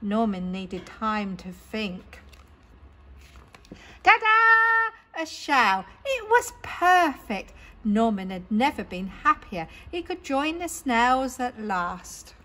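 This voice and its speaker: A woman reading picture books aloud to children in an animated, dramatic way. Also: on the high side, takes it slowly, slight background noise. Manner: animated, dramatic, with exclamations and sound words. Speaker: children's storyteller at a library story time. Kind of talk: expressive picture-book read-aloud.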